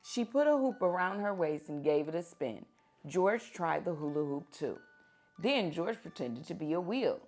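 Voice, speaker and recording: A woman reading picture books aloud to children in an expressive, deliberate teacher's manner, prompting them with questions. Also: on the low side.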